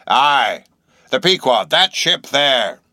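A man's voice: mid-range voice, sing-song, takes it slowly, sharp tone, dry recording, clean studio sound, voice pushed up, full character voice. A man voicing a shabby, insanely earnest old sailor in an audiobook, speaking abruptly with nervous emphasis and derisive, cryptic questions. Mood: insistent, mocking. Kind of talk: audiobook character acting.

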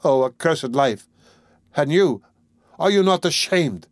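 A man's voice: on the high side, animated, takes it slowly, no room sound, clean studio sound, pitched above the performer's own voice. A man reading an audiobook, voicing a desperate impoverished mother who screams accusations in a frenzy. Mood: frenzied, despairing. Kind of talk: audiobook character voice.

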